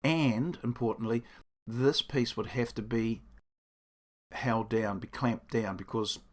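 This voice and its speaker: A man with a croaky voice explaining his workshop build in a casual, rambling way, correcting himself as he goes. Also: mid-range voice, steady tone, slow pace. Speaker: woodworking channel host. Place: home workshop.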